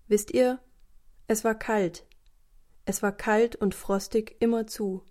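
A woman narrating a children's audio story in a measured way, speaking directly to young listeners. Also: mid-range voice, dark tone, natural voice.

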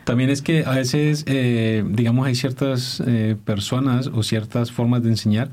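Man, middle pitch, dance teacher guest, thoughtful and halting. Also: steady tone, darkish tone. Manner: thoughtful, hesitant, searches for words. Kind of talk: interview answers.

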